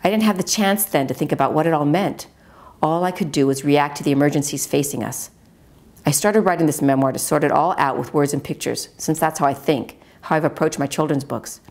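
A middle-aged woman with an American accent reads literary memoir aloud. Her voice sits very low and has a lot of fry. The delivery is measured and earnest, as an author reading her own work. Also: a little mellow. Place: at a wooden table in a home dining room.

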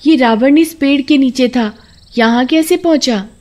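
A woman's voice: sits high, unhurried, a little mellow, pitched above the performer's own voice. A woman voicing a curious, easily startled young village child in a children's cartoon.

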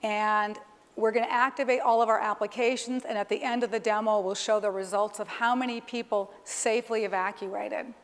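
A woman's voice: medium pitch, steady tone, brisk. A middle-aged woman giving an explanatory live demonstration from a conference stage. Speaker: corporate executive presenting a keynote demonstration. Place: large conference stage, indoors. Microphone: clip-on lapel microphone. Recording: reverberant hall sound through PA.

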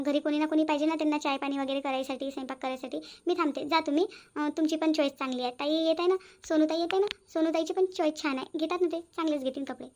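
Casting: woman playing a polite young daughter-in-law, high bright voice. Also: close to an everyday voice. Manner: polite deferential.

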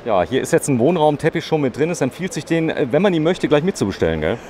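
A man's voice: on the high side, very animated, fast, a little mellow. A man doing a casual, chatty on-site interview as a reporter. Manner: chatty, adds short tag questions. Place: caravan at an indoor trade fair. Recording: clear background noise of busy trade fair.

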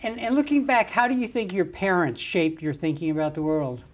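A man's voice: high voice, fairly quick. An older man acting as host of a studio interview show. He speaks formally and courteously as he introduces his guest and puts his questions, recorded in a TV studio on a lapel mic.

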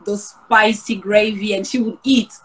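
A woman's voice: medium pitch, fairly even intonation, a little slow, fairly bright tone. A woman who grew up in France, speaks casually and reflectively as an interview guest over a video call. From Paris suburbs, France.

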